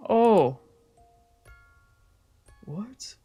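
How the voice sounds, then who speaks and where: A woman streamer playing a visual novel, mixing casual, slangy, expressive commentary with reading the game text aloud. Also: medium pitch, extremely slow, slightly rough. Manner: expressive, reactive, exclamatory, slangy. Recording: music playing behind the voice.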